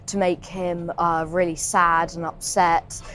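A woman's voice: fairly low pitch, flat delivery, takes it slowly, fairly bright tone, faint music behind. A woman explaining in casual, simple, informal speech.

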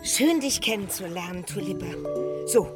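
A woman's voice: medium pitch, expressive, normal speed, close to an everyday voice. A woman voicing the caring, practical Moomin mother in a children's radio play, with a soothing, sensible tone. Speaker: voice actor. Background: music score.

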